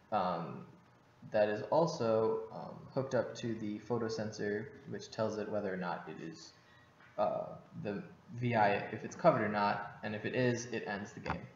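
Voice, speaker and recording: Male student presenter, halting technical explanation, dull sound with clear background noise. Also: fairly low pitch. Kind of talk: technical project demo narration. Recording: noisy, dull, echoey room.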